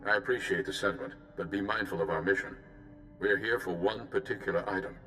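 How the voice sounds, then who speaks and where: Low-voiced, measured Jedi mission leader. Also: male voice, pushed and bright, soft background music, low-quality recording. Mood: measured, serious. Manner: measured, formal, courteous. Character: calm, principled male Jedi mission leader.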